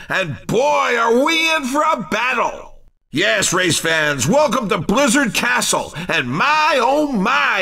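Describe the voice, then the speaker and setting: High-pitched, hyped racing game commentator. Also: male voice, creaky, bright, forceful tone, recorded from a distance, heavily acted. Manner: showy announcer delivery, hyped. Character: excitable, upbeat male arcade racing game commentator. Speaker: race commentator. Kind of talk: scripted video game sports commentary.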